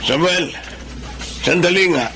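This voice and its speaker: A man's voice: high-pitched, a little slow, pushed and bright, a little hiss or hum. A man playing a proud, defensive older father with a Filipino accent and emphatic delivery in a radio drama. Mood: scolding.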